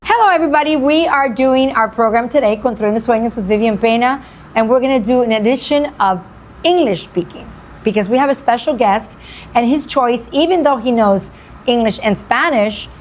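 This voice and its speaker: Effusive woman host, a bit high. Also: moderate pace, a little hiss or hum. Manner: effusive, repeats phrases for emphasis. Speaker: radio show host. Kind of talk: enthusiastic interview.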